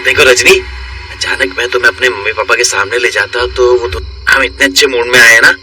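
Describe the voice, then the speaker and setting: A man's voice: mid-range voice, fairly even intonation, fairly quick, close to an everyday voice. A man voicing a sly young male friend in a horror cartoon, teasing and insinuating. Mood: coaxing. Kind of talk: cartoon dialogue. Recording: loud music bed, slightly distant.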